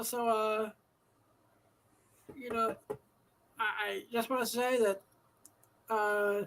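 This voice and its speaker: A man's voice: extremely high pitch, bright, forceful tone, low-quality recording. A man hosting a live show from home, talking in a rambling, profane way with many fillers, a slightly nasal voice and a dry throat. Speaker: host. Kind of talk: casual live show monologue.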